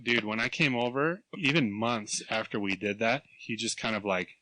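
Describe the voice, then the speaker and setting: A man in his thirties co-hosting a podcast, telling animated stories, acting out other people's voices and laughing often. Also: fairly low pitch, normal speed, no echo, poor audio. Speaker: podcast co-host. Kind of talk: casual chat, storytelling.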